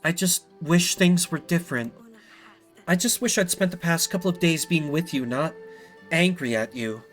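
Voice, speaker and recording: A man voicing a gentle, sorrowful princess in a video game, speaking softly and hesitantly to her father. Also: on the high side, moderate pace, faint music behind, voice pushed up, close to an everyday voice. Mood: sad, tender. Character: gentle, sorrowful eldest princess, loving daughter of the king.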